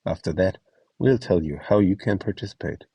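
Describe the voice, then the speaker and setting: A man giving a scripted explainer narration as a host, instructing clearly step by step. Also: low voice, animated, normal speed, dark tone, dry recording, distant mic. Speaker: host and instructor of a voice donation project.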